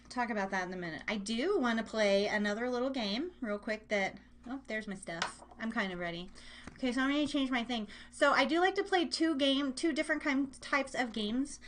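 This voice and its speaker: A woman's voice: fairly high pitch, a bit bright. A woman hosting a live online radio show, chatting casually with listeners in a giggly, rambling, playful way, often repeating phrases.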